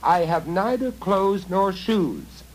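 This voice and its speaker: A man voicing a high, anxious young girl. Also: very animated, takes it slowly, full character voice. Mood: wary, stalling. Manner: pleading, calling out. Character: kind young girl bath attendant, frightened but quick-witted. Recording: noisy vintage LP recording.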